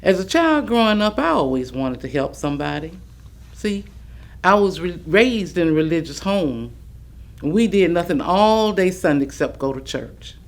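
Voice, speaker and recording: An actress performing a devout, kindly older woman's recollections in a staged reading, earnest and deliberate. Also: on the low side, lively intonation.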